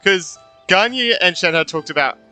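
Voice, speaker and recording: A man's voice: high voice, animated, measured pace, sharp tone, soft background music, rough recording. A man giving casual, offhand live commentary while playing a game, informal and slangy. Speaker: gaming YouTuber and streamer.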